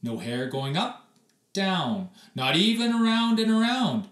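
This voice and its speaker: A man reading a children's story aloud expressively, with dramatic, deliberate pauses and emphasis. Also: high-pitched, expressive, fairly bright tone, recorded from a distance. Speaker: storybook reader. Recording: echoey room, away from the mic.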